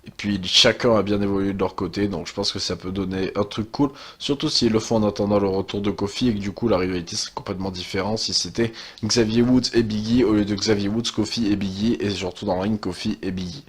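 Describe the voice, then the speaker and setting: A young man with a head cold talks in a low, husky voice. He chats loosely and hesitantly, filling pauses with sighs and fillers. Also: fairly even intonation. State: has a cold, stuffy. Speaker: wrestling channel host. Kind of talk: casual commentary monologue.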